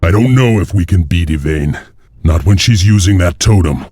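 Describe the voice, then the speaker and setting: An actor playing a grim, defiant wolf clan warrior leader with a gravelly voice in a dramatic video game cutscene. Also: male voice, sits low, lively intonation, moderate pace, mellow, no echo, faint music behind. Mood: grave, resolute.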